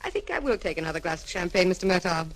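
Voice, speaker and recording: Scheming society woman, arch, teasing, polished, very animated pitch, vintage radio drama. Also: racing, a little hiss or hum. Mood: composed, curious. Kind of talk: 1940s radio crime serial acting. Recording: old 1940s radio recording.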